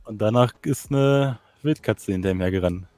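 A man's voice: lowish voice, normal speed, a little mellow. A man playing an online roleplay game, casually telling stories and bantering in character over voice chat.

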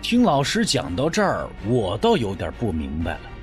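A man's voice: fairly low pitch, wildly swooping pitch, moderate pace, faint music behind. A man narrating a suspense audiobook in a dramatic, scripted style.

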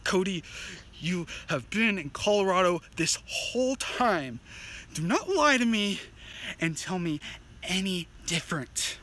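A man's voice: extremely high pitch, animated, fairly quick, sharp tone, full character voice. A man playing a frantic, bossy young guy who pleads insistently and profanely with his friends in an amateur comedy skit. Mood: incredulous, accusing. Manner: urgent, insistent, profane, repeats himself.

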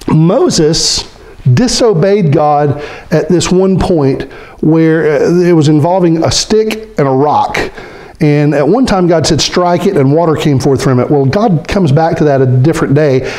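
A middle-aged man preaching a sermon as pastor, animated and questioning his congregation, dropping low when he quotes scripture figures. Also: measured pace, rough recording. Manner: animated, gestures a lot, asks the congregation questions. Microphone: stand mic in front of speaker.

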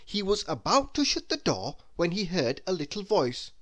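A storybook narrator reading aloud for children. The voice sits at a medium pitch and moves up and down freely. The telling is expressive yet measured.

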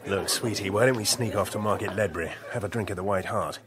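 A man's voice: lowish voice, quick pace, crowd noise, close to an everyday voice. An actor playing a scornful young man, drawling with contempt. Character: sneering young male cad, bored and scornful.

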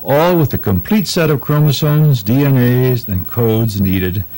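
Emphatic male science narrator, medium pitch. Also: lively intonation, measured pace, mellow, low-quality recording.

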